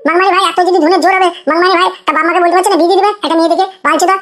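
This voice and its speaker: A woman plays a squeaky, extremely high-voiced young village drunkard from a crude comedy cartoon. He rants at breakneck speed, grumbling and swearing without pause. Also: fairly even intonation, loud music behind the voice. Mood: indignant ranting. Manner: ranting, swearing constantly. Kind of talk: crude comic cartoon dialogue.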